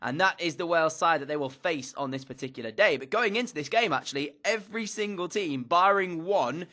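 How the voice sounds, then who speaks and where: A man's voice: fairly high pitch, animated, brisk, bright, forceful tone. A young man from England with a slightly stuffy nose from a cold, recapping football matches in an upbeat commentator style with frequent fillers. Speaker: gaming YouTuber.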